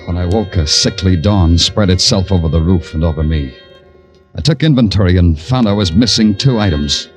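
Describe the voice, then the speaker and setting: An American actor playing a weary, wry police detective who narrates his case in deadpan 1940s radio style. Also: low-pitched, normal speed, close to an everyday voice. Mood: brooding. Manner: deadpan, sardonic. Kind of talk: 1940s radio crime drama acting, hardboiled narration. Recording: old 1940s radio broadcast, music behind.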